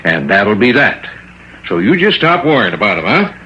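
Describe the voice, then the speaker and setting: An actor playing a brusque, dismissive small-town doctor who talks in a folksy, emphatic way in a vintage radio drama. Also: male voice, lowish voice, sing-song, moderate pace, pushed and bright. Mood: brisk, final. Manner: folksy, emphatic, repeats himself. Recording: dull vintage radio recording, faint noise.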